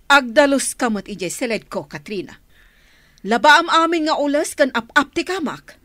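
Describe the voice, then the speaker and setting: A woman playing a harsh, bossy aunt in an Ilocano radio drama, with a sharp voice and a commanding way of talking. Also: high-pitched, normal speed, dry recording, studio quality. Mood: stern commanding. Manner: scolding, commanding. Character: harsh, bossy aunt who bullies her niece, softer with her daughter.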